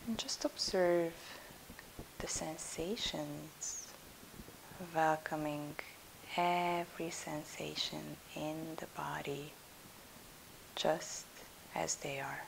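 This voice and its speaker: A woman with a low, creaky voice guides a relaxation meditation. Her delivery is soft and soothing, trailing into breath at the ends of phrases, with long pauses. A steady hiss sits behind her. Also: low-quality recording. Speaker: yoga nidra instructor.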